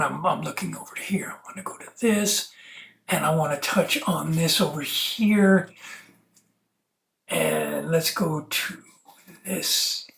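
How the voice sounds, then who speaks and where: A speaker from the United States giving an earnest, preachy monologue as an activist presenter, halting and digressive, drawing out words and playing on them. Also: medium pitch, a bit bright. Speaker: self-taught activist presenter. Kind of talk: rambling monologue to camera.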